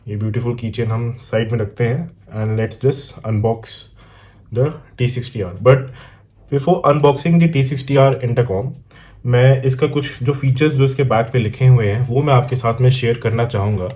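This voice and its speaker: A man's voice: medium pitch, a little slow, darkish tone. A man with an Indian accent giving a casual, explanatory unboxing talk as a vlogger, addressing the viewer directly. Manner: explanatory, addresses viewer with examples and check-ins. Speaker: motorcycle vlogger and product reviewer. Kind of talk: casual unboxing talk mixing Hindi and English.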